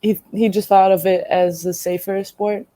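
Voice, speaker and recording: A woman's voice: mid-range voice, steady tone, normal speed, warm tone, dry recording. Hesitant young woman from Greece. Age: young adult. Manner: hesitant, many ums, laughs often. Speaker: college volleyball player. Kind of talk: casual interview answers.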